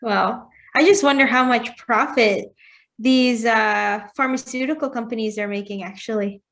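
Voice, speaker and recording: A woman with a fairly high voice co-hosts a casual podcast. She chimes in with quick reactions and playful, teasing questions. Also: fairly bright tone. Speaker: podcast co-host. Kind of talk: casual chat.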